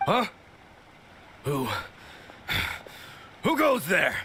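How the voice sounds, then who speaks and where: A macho swordsman with a gravelly, very drawn-out delivery. He is theatrical and delirious, lurching wildly in pitch, in overwrought video game style with music behind. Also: sing-song, pushed and bright, slight background noise, heavily acted. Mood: startled.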